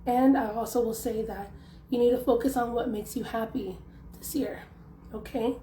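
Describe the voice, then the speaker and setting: A young woman with a hoarse, worn-out voice, chatting casually through a tarot reading and leaning on lots of fillers. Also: on the high side, fairly even intonation, normal speed, mellow. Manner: chatty, loose, filler-heavy. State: hoarse, losing her voice. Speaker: tarot reader. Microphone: phone. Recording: echoey room, faint background noise.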